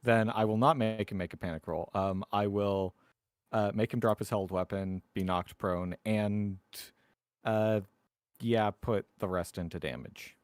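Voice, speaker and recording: Low-voiced man playing a tabletop game. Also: steady tone, unhurried, smooth, dry recording, studio quality. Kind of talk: casual game-table talk.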